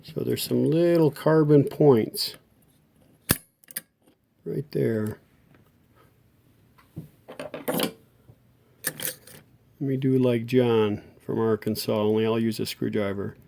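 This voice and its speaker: A man's voice: medium pitch, steady tone, takes it slowly, gravelly, long pauses, mellow, slight background noise. A middle-aged man giving a casual hands-on repair tutorial, talking in an informal, folksy way as he works. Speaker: host of a vintage radio repair channel.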